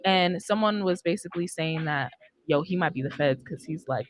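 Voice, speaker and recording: A woman's voice: fairly low pitch, normal speed, a little hiss or hum. A young woman hosting a casual chat in an informal, loosely improvised way, close on a studio microphone. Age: young adult. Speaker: podcast host. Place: podcast studio, indoors. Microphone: close studio microphone on boom arm.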